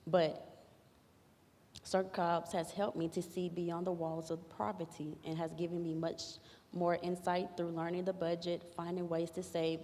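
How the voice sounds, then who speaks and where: Low, even-toned woman in an echoey hall. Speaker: programme participant giving a testimonial. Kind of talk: prepared testimonial read to an audience. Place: large echoey room at a graduation event. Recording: echoey hall with background room noise.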